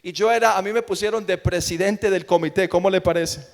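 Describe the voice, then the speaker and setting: A man's voice: sits high, brisk, vocal fry. A man with a Colombian accent preaching an evangelical sermon in an emphatic manner, repeating phrases for effect. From Colombia, grew up in Medellín. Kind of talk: evangelical sermon with personal testimony. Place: large church room with long reverb. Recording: long hall reverb.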